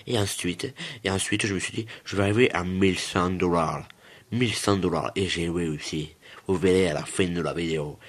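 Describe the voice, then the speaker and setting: A man's voice: low voice, slightly rough. A man hosts a gaming video. He talks casually, circling back over his own words and wandering off into joking asides with the viewers. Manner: repeats himself and digresses, joking with viewers. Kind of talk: casual, rambling gameplay commentary.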